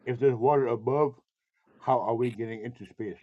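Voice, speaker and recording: Polite hesitant guy calling in, quick talker, tinny phone sound. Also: medium pitch, steady tone, a little hiss or hum. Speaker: caller. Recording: phone line.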